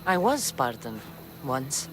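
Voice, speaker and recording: A hardened female Greek mercenary in a game drama. She talks bluntly and firmly, her pitch moving freely, with music underneath. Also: sing-song, slow, heavily acted. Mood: guarded, bitter. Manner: blunt, firm. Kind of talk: video game dramatic dialogue. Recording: music behind the voice.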